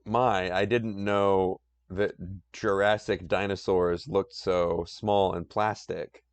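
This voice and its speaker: A man doing a dry, deep-voiced comic dad. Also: level tone, clean tone, dry recording. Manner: dry, deliberately deeper voice. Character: dry, unimpressed father of a boy.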